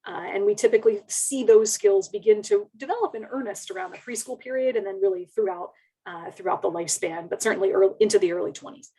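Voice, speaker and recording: A woman's voice: medium pitch, fast, mellow, husky, poor audio. A woman speaking as an education researcher on a webinar, explaining things informally in casual wording.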